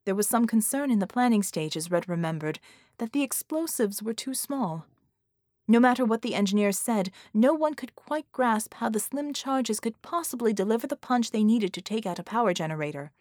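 A woman's voice: medium pitch, normal speed, a little mellow, dry recording. Woman narrating action fiction, close dry sound. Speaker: audiobook narrator. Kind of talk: dramatic scripted narration of an action story.

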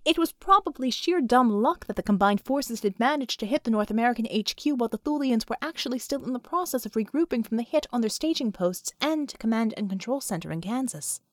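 A woman's voice: fairly high pitch, expressive, fairly quick, few pauses, no room sound, studio quality. A woman reading a story aloud as scripted audiobook narration.

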